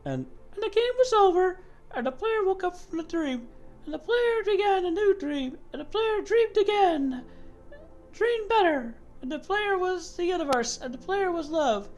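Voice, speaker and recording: Lady doing a high dreamy god being from a game, fervent n dramatic, swoopy, music behind. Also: lively intonation, normal speed, a little mellow, low-quality recording. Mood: tender, emphatic. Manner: dramatic, emphatic delivery. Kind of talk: dramatic reading of game dialogue.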